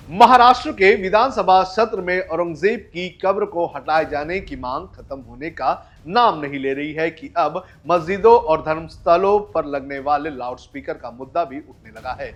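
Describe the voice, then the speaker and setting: A man's voice: fairly high pitch, a bit bright, highs cut off. A man presents the news in a scripted narration style over a music bed. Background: background music.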